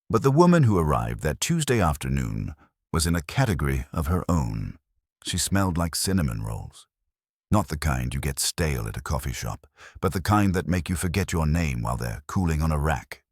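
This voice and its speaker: Very deep male detective storyteller. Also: no room sound, clean studio sound. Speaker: host and narrator. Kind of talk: scripted first-person detective story narration.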